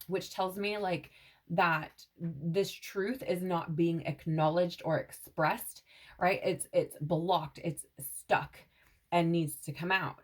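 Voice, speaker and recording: Woman, lowish voice, tarot reader, meandering casual talk, slightly scratchy throat, says "right?" a lot. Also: steady tone, slow, darkish tone. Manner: meandering, repeats "right?" and "like", intuitive channeling tone. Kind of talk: casual spiritual tarot reading to camera.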